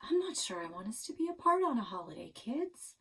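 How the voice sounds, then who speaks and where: A woman's voice: fairly high pitch, sing-song, a little fast, sharp tone, breathy edge, voice pushed up, exaggerated voice. A woman voicing a cautious, practical, hesitant mother in a children's storybook. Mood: reluctant.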